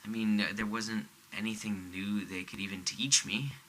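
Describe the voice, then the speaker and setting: A man's voice: on the low side, flat delivery, moderate pace, bright, forceful tone, poor audio. A man playing an awkward young college dropout in an audio drama, hesitant and stammering, with a casually bitter edge. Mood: hesitant, downplaying. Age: young adult. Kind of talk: naturalistic audio drama dialogue.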